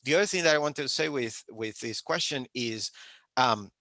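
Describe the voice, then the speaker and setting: A Puerto Rican man with a native Spanish speaker's accent shares advice and anecdotes on a panel. His voice is bright and forceful at a middling pitch, and the sound is very dry. He talks emphatically, circling back over phrases. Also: moderate pace, dry recording. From Puerto Rico.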